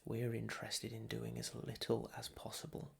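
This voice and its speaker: A man leading a guided meditation as a teacher, soothing and unhurried, leaving long pauses between short phrases. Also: on the low side, monotone, vocal fry, slightly rough. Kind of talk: guided meditation, calm instruction.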